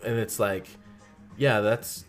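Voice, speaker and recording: Low-voiced man explaining earnestly. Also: takes it slowly, soft background music. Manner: earnest, steady, explains at length. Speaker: podcast co-host. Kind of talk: casual podcast chat.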